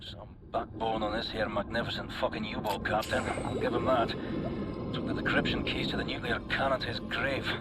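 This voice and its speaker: A man with a Scottish accent playing a gruff, sardonic, foul-mouthed resistance pilot in a video game. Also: on the low side, level tone, a little fast, very noisy, close to an everyday voice. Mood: grim, sardonic. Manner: sarcastic, colourful swearing. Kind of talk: video game character acting.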